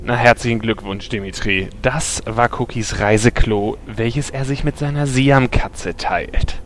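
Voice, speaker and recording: Cocky, grumbling adventurer male actor, mid-pitched, unhurried and blunt, fantasy audio parody with audible noise. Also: close to an everyday voice. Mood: exasperated. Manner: blunt, exasperated. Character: gruff, cocky male adventurer, bossy to his cook.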